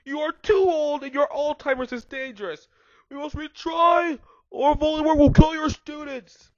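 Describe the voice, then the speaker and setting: A man voicing a furious, bossy woman school official, shrill, scolding and exaggerated. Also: fairly even intonation, moderate pace, dry recording, highs cut off. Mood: furious accusing.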